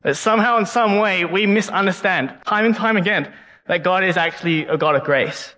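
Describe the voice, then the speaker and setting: A man's voice: high voice, fairly quick, pushed and bright. Earnest young man preaching in a reverberant hall. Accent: non-Canadian English, unplaced. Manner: earnest, frequent word repeats. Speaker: guest preacher. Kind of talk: sermon. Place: church hall.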